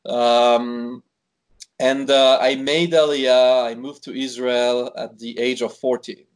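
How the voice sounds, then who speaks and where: Swiss-born man, guest in a casual interview, talky, halting with fillers. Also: medium pitch, flat delivery, smooth. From Switzerland. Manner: chatty, many fillers and restarts. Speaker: wine expert guest. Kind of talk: conversational interview.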